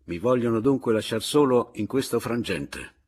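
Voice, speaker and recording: Man acting a proud, hot-headed gentleman, husky. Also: medium pitch, sing-song, normal speed, voice pushed up. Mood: exasperated. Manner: forceful, scornful.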